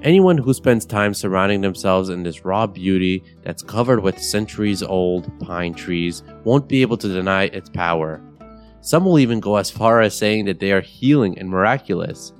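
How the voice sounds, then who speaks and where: A young man from Bulgaria hosting a podcast, guiding a meditation in a calm, measured, soothing way. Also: low-pitched. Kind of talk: guided meditation narration. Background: soft guitar music.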